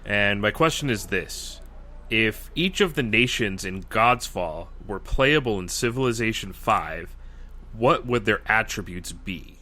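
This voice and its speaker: A man's voice: lowish voice, expressive, measured pace, bright, forceful tone. An American man from Arizona introducing himself and putting a question to a show as a listener. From Arizona, United States.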